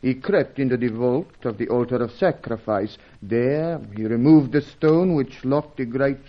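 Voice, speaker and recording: A humble, superstitious local guide from an old radio adventure, acted by a man. He tells things formally and haltingly, with solemn courtesy. The sound is dull and narrow. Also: mid-range voice, close to an everyday voice. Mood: confiding. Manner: formal, halting, florid storytelling. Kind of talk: old-fashioned radio serial acting. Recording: dull, band-limited old radio recording.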